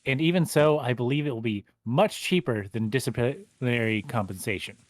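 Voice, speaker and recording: A man's voice: mid-range voice, moderate pace, dry recording, natural voice. A man playing a formal middle-aged lawyer who is not a native speaker, with a stiff delivery that trips over words. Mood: grave. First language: not Japanese. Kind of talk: fan livedub of a visual novel.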